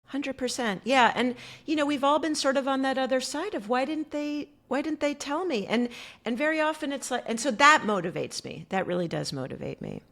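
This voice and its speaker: A middle-aged American woman telling a story as a guest on an interview show, animated and full of fillers. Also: high voice, fairly quick, vocal fry. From United States. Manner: animated, many fillers like "like" and "you know". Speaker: guest coach.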